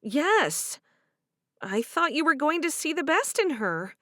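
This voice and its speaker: A woman's voice: high-pitched, very animated, takes it slowly, no room sound, studio quality, pitched above the performer's own voice, exaggerated voice. A woman doing a tearful, fretful young woman. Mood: upset. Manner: soft, pleading, tearful.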